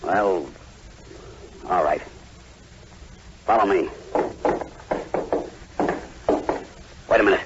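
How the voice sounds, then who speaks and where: An actor playing a grim, wary plantation manager in an emphatic, melodramatic old radio style. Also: male voice, low-pitched, unhurried. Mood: grave. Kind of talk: 1940s radio acting. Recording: muffled, noisy old radio recording.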